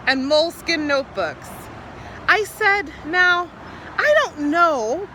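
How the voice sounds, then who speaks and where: A woman poet performing an improvised spoken-word monologue outdoors, theatrically, in short halting phrases with repeated words. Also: very high voice, bright, forceful tone, slight background noise, low-quality recording. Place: outdoors, standing or walking.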